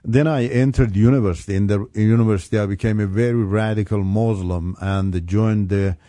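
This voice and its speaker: Middle-aged Iranian man, English, low warm voice, halting earnest testimony. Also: fairly even intonation, no echo. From Iran. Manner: earnest, halting, with many fillers and restarts. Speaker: radio guest. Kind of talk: personal testimony on radio. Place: radio studio.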